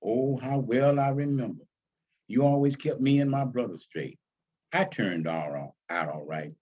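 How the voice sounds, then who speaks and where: A friendly grown man paying a respectful visit, read out by a male storyteller. He is easygoing and polite. The voice is husky and mid-pitched, on a slightly muffled recording. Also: measured pace, darkish tone, lightly acted. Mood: reflective.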